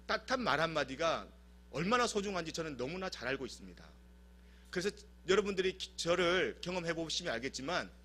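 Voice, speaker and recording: A man from South Korea preaching a sermon in church, telling stories in an animated, humorous way. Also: a little high. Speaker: pastor. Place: church service.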